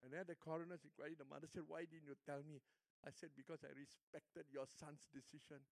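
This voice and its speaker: Older Singaporean pastor preaching. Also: male voice, on the high side, little pitch movement, fairly quick, vocal fry, no room sound, poor audio. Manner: storytelling, swings between hushed and emphatic. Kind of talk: sermon.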